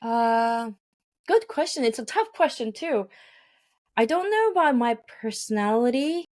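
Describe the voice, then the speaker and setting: A woman's voice: a little high, sing-song, a little slow, a little mellow. A woman, a company founder, talking in an interview, explaining earnestly with many fillers and restarts. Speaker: founder of a language game company, guest. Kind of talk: interview conversation.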